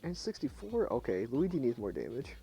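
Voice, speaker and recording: A young man with a low voice, talking quietly and casually to his chat at night while he plays a game. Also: big pitch swings, a little fast, vocal fry. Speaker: streamer. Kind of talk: casual live game commentary. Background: faint game music.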